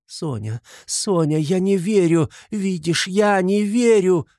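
A man voicing a hysterical, sickly, impoverished widow in an audiobook, shrill and frantic, fiercely protective and indignant. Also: animated, a little slow, no echo, clean studio sound. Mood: passionate protest. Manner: frantic repetitions, accusing, pleading. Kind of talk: dramatized literary audiobook.

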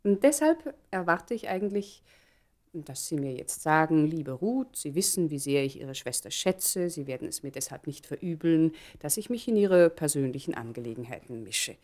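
A woman's voice: deep voice, animated, normal speed, a little mellow. An actress in a German radio play voicing a shrewd, poised society woman with a polite, knowing irony. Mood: knowing, ironic.

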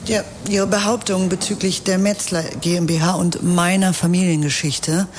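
A woman's voice: lowish voice, fairly even intonation, moderate pace, natural voice. Over faint noise, an actress plays a haughty female executive in a radio play. Her voice is cold and formal, with open disdain. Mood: icy disdain. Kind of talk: radio drama acting.

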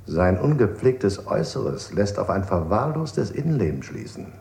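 A man's voice: lowish voice, very animated, normal speed, vocal fry, darkish tone. An actor playing a coolly accusing interrogator in a radio play, formal and clinical as he lists his charges. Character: cold interrogator, male, coolly accusing, pseudo-logical. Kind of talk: avant-garde radio play acting. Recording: roomy, echoing studio sound.

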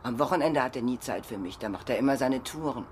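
A woman's voice: a bass voice, expressive, fast, darkish tone, a little hiss or hum. An actress in a radio crime drama playing a naive, chatty, worried girlfriend who rambles colloquially. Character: naive chatty woman, worried girlfriend under police questioning.

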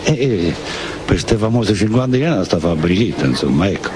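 An older man with a central Italian accent from Lazio gives halting, plain-spoken testimony as a factory worker. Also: expressive. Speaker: ceramics factory worker-member. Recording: noisy field recording, loud vehicle rumble.

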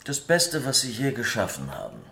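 An actor in a German radio play voicing a languid, witty English aristocrat, drily ironic and aloof. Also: male voice, medium pitch, wildly swooping pitch, normal speed, creaky, bright, forceful tone, exaggerated voice.

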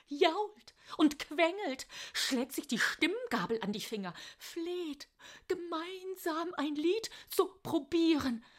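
A woman's voice: high-pitched, full character voice. An actress playing a hysterical, indignant young woman clerk in a radio play, gossiping in exasperation. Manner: gossipy, exasperated, whispering and wailing by turns. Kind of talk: German radio play acting.

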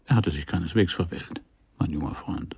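An actor playing a wry private detective who narrates his case in a dry, deliberate, sardonic way for a radio crime play. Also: low voice, creaky, heavy background noise. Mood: dry, tense. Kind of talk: scripted first-person noir radio play narration.

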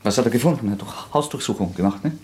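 An actor playing a feverish, brooding, guilt-haunted young murderer in a German radio drama, halting and agitated, with a creaky voice. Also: male voice, medium pitch, animated, a little mellow, slight background noise, close to an everyday voice. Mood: suspicious, tense. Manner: halting, agitated, self-questioning. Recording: long hall-like reverb.

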